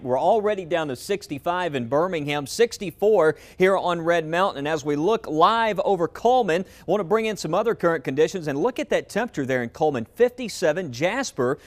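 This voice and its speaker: A man working as a TV weather forecaster, talking through the forecast unscripted. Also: on the high side.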